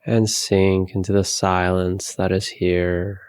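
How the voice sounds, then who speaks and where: A man leading a guided meditation, giving calm, soothing, unhurried instructions with long pauses. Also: deep voice, smooth, dry recording.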